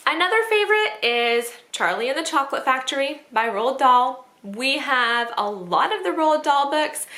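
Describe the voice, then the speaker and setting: A woman with a bright, forward voice hosts a casual home vlog. She chats informally and thinks out loud as she makes her recommendations. The room around her adds a noticeable echo. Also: on the high side. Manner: informal, conversational, thinking aloud. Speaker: homeschooling mother and video host. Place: indoors at home. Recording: roomy, echoing home recording.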